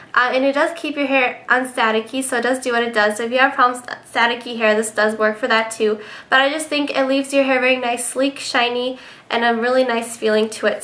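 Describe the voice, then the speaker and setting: A woman's voice: fairly high pitch, bright, forceful tone. A young woman with a sick, nasal voice chatting casually through a beauty product review, with many ums, recorded on a webcam in her bedroom. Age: young adult. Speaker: beauty vlogger. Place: bedroom indoors.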